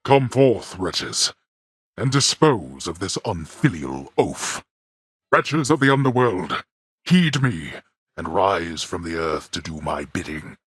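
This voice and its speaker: A man's voice: very animated, slow pace, fairly bright tone, dry recording, studio quality, full character voice. An actor playing a stern, imperious male god of the underworld who lectures his son in cold, formal speech. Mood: commanding. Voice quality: very deep, husky and grave. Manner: cold, formal, commanding; bellows when summoning. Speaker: boss character in a video game. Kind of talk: studio game voice acting.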